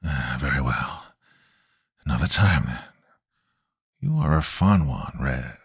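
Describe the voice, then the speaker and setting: A man voicing a sinister stranger with a raspy, half-whispered wheeze, talking slowly and threateningly. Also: rumbling low, lively intonation, fairly bright tone, no room sound, studio quality, voice pushed down, full character voice. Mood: mocking. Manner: slow, sly and threatening.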